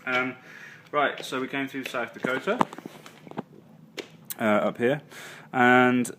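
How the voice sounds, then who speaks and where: A man's voice: mid-range voice, steady tone, slow, noisy background. A man casually recapping his travels for a vlog, full of ums and uhs and self-corrections. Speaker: travel vlogger. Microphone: phone or handheld camera.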